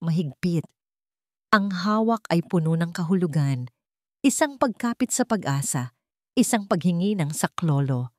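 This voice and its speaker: A woman's voice: fairly low pitch, expressive, measured pace, darkish tone, no room sound, clean studio sound. A woman narrating a scripted dramatic story as its storyteller.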